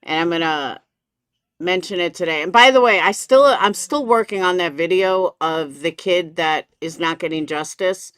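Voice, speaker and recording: A woman's voice: fairly low pitch, normal speed, fairly bright tone, clean tone, dry recording. Woman streamer with a dry, close sound. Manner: blunt, sweary, gossipy. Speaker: commentary streamer. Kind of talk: casual live reaction commentary.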